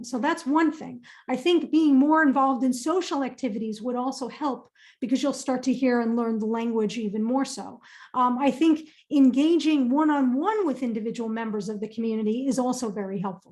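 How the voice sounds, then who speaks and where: A woman's voice: high-pitched, a little mellow. A woman presenting a career webinar and answering audience questions in an upbeat, emphatic way, often repeating phrases for stress.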